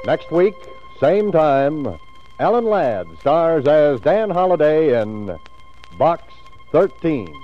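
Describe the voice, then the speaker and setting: A man's voice: on the high side, very animated, takes it slowly. A man announcing a radio programme in formal 1940s network style. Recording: muffled old radio recording with music.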